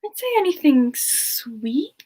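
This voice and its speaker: Woman playing a gentle, unhurried, high-voiced demon girl. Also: big pitch swings, slightly rough, full character voice. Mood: cozy, friendly. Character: sweet, bashful, gentle female demon singer. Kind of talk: semi-ASMR in-character livestream Q&A.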